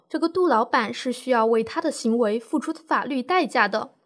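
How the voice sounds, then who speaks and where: A woman playing a calm, reassuring lawyer who explains the law formally in a scripted educational radio drama. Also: a little high, close to an everyday voice. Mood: reassuring, firm. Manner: composed, explanatory, formal legal phrasing. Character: calm, reassuring female lawyer advising a client.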